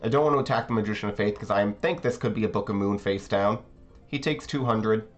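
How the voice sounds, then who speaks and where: A man's voice: fairly low pitch, a little fast. A man giving casual commentary on his card game matches, thinking aloud and explaining his plays, with a slightly congested voice. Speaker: card game channel host. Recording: slightly dull recording, faint background music.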